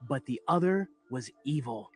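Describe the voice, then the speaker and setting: An actor playing a young sidekick who narrates a superhero audio adventure in a melodramatic, scripted style. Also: male voice, mid-range voice, lively intonation, moderate pace, faint music behind, natural voice. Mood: ominous.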